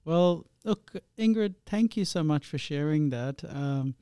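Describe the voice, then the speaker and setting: A man from India hosts an interview in a friendly, rambling way. His voice is slightly high, mellow and smooth. The sound is dry. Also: expressive, a little slow, no echo. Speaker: host.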